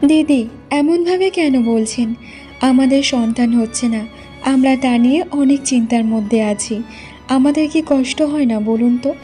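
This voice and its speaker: A woman's voice: sits high, unhurried, mellow, soft background music. A woman playing a meek, sorrowful village wife in a cartoon, speaking in a plaintive, earnest way. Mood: hurt, pleading. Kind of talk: Bengali moral cartoon voice acting.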